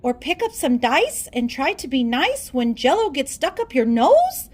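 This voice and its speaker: A woman narrates a children's story aloud. Her voice is high and swoops widely in pitch, and she takes her time, shaping phrases theatrically. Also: big pitch swings, a little slow. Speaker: storybook reader.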